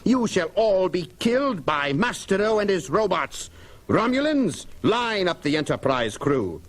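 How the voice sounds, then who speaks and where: A man's voice: sits high, sing-song, unhurried. A man playing a gloating, grandiose Romulan commander in a theatrical, declamatory seventies audio drama style. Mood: gloating, menacing. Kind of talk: 1970s audio drama acting.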